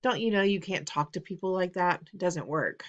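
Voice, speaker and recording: A woman voicing a blunt, stubborn father who talks gruffly and raises his voice. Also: lowish voice, normal speed, lo-fi sound, close to an everyday voice. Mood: stern. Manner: gruff and insistent, raising his voice.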